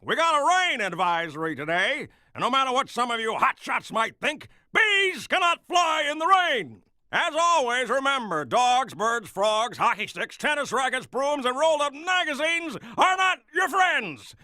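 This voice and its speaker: A man's voice: extremely high pitch, big pitch swings, normal speed, bright, forceful tone, no room sound, studio quality, exaggerated voice. A man voicing a bossy, sarcastic cartoon bee commander who barks out military briefings. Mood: stern briefing.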